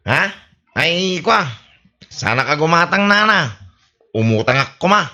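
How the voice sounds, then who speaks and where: Swooping, high-pitched village drunk. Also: male voice, sing-song, measured pace, bright, forceful tone, exaggerated voice. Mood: wheedling. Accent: Ilocano. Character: boastful, wheedling drunken village man buying on credit. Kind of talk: Ilocano radio comedy drama acting.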